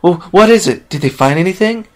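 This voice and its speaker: A man voicing a brash, tomboyish young female pegasus filly, loud and high and strained when upset. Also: sing-song, normal speed. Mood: eager.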